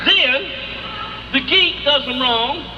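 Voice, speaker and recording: A man's voice: very high-pitched, takes it slowly, over loud music. A man delivering a sarcastic, repetitive talk radio monologue through a lo-fi old radio speaker.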